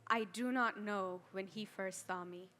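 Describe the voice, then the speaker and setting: A woman performing a dramatic stage monologue as a frightened, ashamed adolescent girl, deliberate and full of heavy pauses. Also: mid-range voice, pushed and bright. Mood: haunted, sorrowful. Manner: slow, deliberate, with heavy pauses and swelling emphasis.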